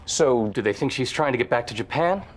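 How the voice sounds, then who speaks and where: A man's voice: medium pitch, animated, fairly quick, slight background noise, lightly acted. An actor playing a polished, earnest male TV news anchor in a video game cutscene, introducing a scripted report. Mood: earnest intrigue.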